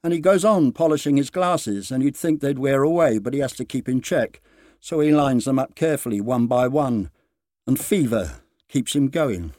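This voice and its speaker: A man narrates a short story in a medium-pitched voice. His delivery is dramatised, deliberate and expressive. The recording is close and dry, with no audible room. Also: dry recording. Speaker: story reader.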